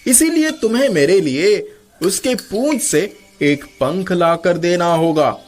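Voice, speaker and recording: A man's voice: high-pitched, lively intonation, a little slow, faint music behind. A man voicing a proud, wily king in a cartoon story, with a stately, commanding manner. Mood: stately, scheming. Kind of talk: Hindi cartoon story character dubbing.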